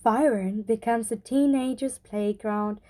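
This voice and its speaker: An actress as a storyteller narrates a fantasy audio drama. Her voice is fairly high yet mellow and warm, delivering scripted narration. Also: fairly even intonation, unhurried, lightly acted. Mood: storytelling.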